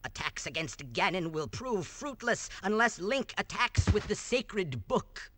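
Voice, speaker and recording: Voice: medium pitch, very animated, a little slow, noisy background. A voice actor playing a solemn narrator in a video game cutscene, giving a grave, theatrical warning. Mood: ominous.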